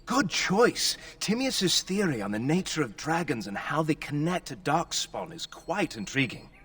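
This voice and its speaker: A man's voice: on the high side, sing-song, a little slow, a bit bright, recorded from a distance, full character voice. An actor playing an earnest, bookish young nobleman in a fantasy video game, speaking in a courteous, eager way. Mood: courteous interest.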